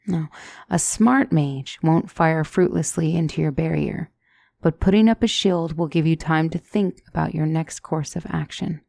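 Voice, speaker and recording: A woman playing a gentle demonic tutor in a scripted ASMR roleplay, speaking softly and deliberately as a calm battle mentor. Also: deep voice, vocal fry, no room sound, heavily acted. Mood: calm, instructive. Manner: measured, deliberate, soft-spoken, instructive.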